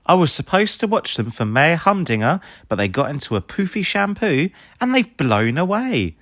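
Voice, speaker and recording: A man voicing an eager, clumsy, helpful young puppy from a children's cartoon storybook, excitable and earnest. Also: a little high, moderate pace, dry recording, clean studio sound, pitched above the performer's own voice. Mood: worried.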